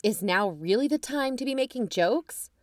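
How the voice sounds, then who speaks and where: A woman in scripted audio drama dialogue, conciliatory and pleading, her pitch rising when anxious. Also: animated, normal speed, no echo, studio quality. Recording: music bed underneath.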